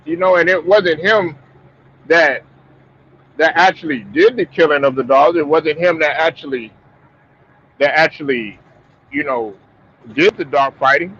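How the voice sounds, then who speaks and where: Man, stuffed up, fairly high voice, rambling sports talk, lots of restarts, muffled lo-fi audio, faint hiss. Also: a little slow, long pauses, a bit bright. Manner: rambling, repeats words, frequent restarts. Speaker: sports talk show host.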